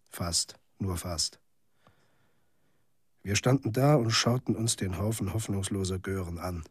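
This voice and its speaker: Deep, weary cynical journalist. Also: male voice, husky, no room sound, clean studio sound. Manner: laconic, literary first-person storytelling. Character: weary, cynical male journalist in a bleak near-future city. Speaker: narrator of a radio monologue. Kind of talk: scripted radio drama monologue.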